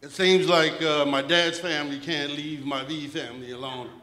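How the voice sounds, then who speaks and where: A man with a bright, high voice and a Tongan accent tells a eulogy full of easygoing jokes. He holds a handheld mic in a big banquet hall, and the sound rings with a long, roomy reverb. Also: steady tone, normal speed. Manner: warm joking storyteller, laughs between lines. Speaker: eulogy speaker. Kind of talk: personal eulogy. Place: large banquet hall, indoors.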